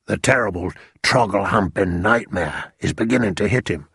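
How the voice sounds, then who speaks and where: A man voicing a gentle, friendly giant in a storybook, confiding in hushed tones with quaint muddled grammar. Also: lowish voice, moderate pace, gravelly, husky, dry recording, studio quality, full character voice. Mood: hushed, urgent.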